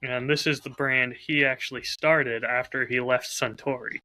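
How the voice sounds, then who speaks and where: Bright, forward-sounding man chatting casually. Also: mid-range voice, steady tone, moderate pace, low-quality recording. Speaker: podcast host and whiskey audio drama creator. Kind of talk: casual chat, showing a bottle on a recording. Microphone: close lav mic.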